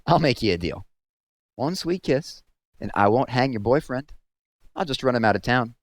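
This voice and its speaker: A man voicing a drunk small-town sheriff in a storybook, with a slow, taunting drawl. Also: mid-range voice, lively intonation, no room sound, clean studio sound, pitched above the performer's own voice, natural voice. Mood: smug, menacing.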